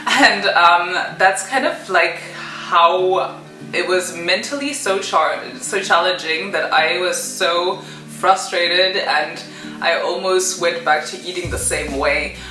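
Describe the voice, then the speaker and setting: A woman's voice: fairly low pitch, slow, pushed and bright, roomy sound, soft background music, low-quality recording. A young woman from Zimbabwe telling her story in a chatty vlog, full of fillers. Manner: chatty, many fillers and "kind of". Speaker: vlogger.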